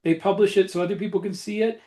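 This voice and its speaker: A man's voice: sits high, steady tone, quick pace, warm tone. A man co-hosting a podcast in casual chat, with a blunt, matter-of-fact way of talking. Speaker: podcast co-host and author.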